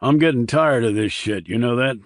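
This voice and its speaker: A man plays an arrogant middle-aged coal baron in a thriller audiobook. He is irritable and curt, snarling his lines louder and higher, in a dry, close sound. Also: a little fast, no room sound, natural voice. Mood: snarling, angry.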